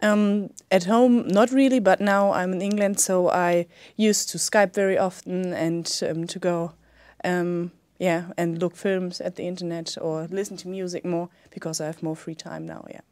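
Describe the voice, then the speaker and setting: A woman's voice: fairly low pitch, fairly even intonation, moderate pace, darkish tone, no room sound. Hesitant young woman with German accent. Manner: hesitant, many ums. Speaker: exam candidate. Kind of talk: spoken exam answers.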